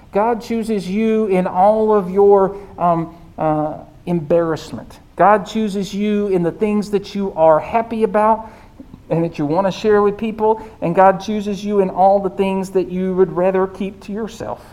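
A pastor with a squeaky voice preaching in a conversational way, often checking in with the listeners. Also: darkish tone. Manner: conversational, keeps asking "right?". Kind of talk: sermon.

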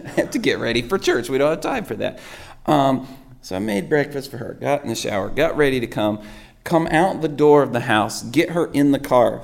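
A man, a pastor preaching with a sore, hoarse throat, telling rambling self-mocking stories. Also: mid-range voice. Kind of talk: sermon.

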